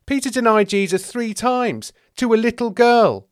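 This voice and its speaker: An anxious, aggrieved disciple, played by a man, frets in a plaintive and hesitant way. His pitch moves about freely in a dry recording, in the manner of a comic Bible story. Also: very animated, unhurried, a bit bright, no echo. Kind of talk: comic scripture parody reading.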